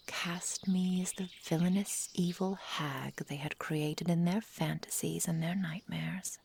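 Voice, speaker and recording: A woman playing an adoring, possessive immortal forest witch in an intimate ASMR roleplay, with soft whispery speech, tender endearments and formal storybook phrasing. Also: on the low side, creaky, slightly rough, heavily acted. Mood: bitter, hurt. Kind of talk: intimate ASMR roleplay, slow dramatic delivery.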